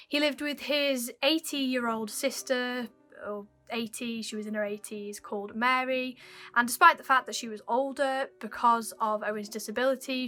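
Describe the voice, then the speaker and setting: A young woman hosting her channel, telling an old mystery case in a chatty way while her voice is croaky and fading. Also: fairly high pitch, pushed and bright. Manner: chatty, apologetic, dwells on odd details. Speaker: YouTube host. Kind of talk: casual mystery storytelling to camera.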